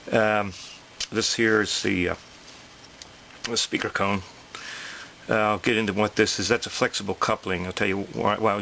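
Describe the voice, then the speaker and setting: A man giving an informal, unscripted technical explanation, haltingly thinking aloud and repeating points for emphasis. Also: lowish voice, gravelly, pushed and bright, a little hiss or hum. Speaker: hobbyist presenter explaining electronics.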